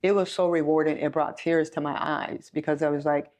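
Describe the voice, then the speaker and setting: A woman's voice: low voice, fairly even intonation, a little fast, darkish tone. A woman of sixty telling her story conversationally as an interview guest, earnest and repeating phrases for emphasis. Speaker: chief executive, podcast guest.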